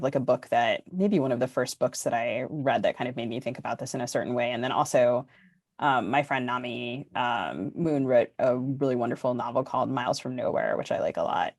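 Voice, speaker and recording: Halting woman podcast host, dry close sound. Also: very deep voice, a little mellow, dry recording. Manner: halting, filler-heavy, frequent "like". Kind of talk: casual literary discussion.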